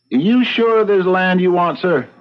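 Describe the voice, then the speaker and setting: An actor playing a big, folksy frontier clerk and lawman in a radio drama, with plain country talk. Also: high-pitched. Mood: doubtful. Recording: dull lo-fi radio recording.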